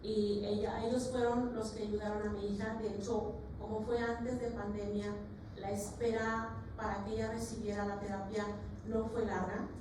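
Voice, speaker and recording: A mother giving a slow, hesitant, grateful personal testimony in a library meeting room. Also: medium pitch, little pitch movement. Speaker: parent speaker. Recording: very echoey room from far off, noisy.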